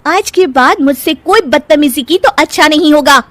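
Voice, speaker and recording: Woman playing a defiant young newlywed, argumentative, high-pitched, very lively intonation, cartoon family story. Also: normal speed, heavy background noise. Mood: defiant, angry. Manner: feisty, argumentative. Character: defiant, sharp-tongued young newlywed daughter-in-law. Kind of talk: cartoon family drama acting.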